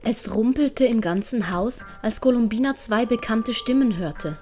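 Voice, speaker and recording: A woman's voice: fairly high pitch, unhurried, a little mellow. A woman with a Swiss German accent reading a scripted story as its narrator. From Switzerland. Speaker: narrator and author of an audiobook. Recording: music behind the voice. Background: music and sound effects.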